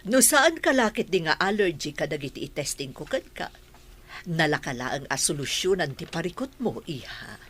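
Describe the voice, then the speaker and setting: A woman's voice: low voice, big pitch swings, normal speed, fairly bright tone, heavily acted. Swooping, reassuring lady surgeon, acted by a woman. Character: reassuring female plastic surgeon, a family friend. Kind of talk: Ilocano radio comedy drama acting.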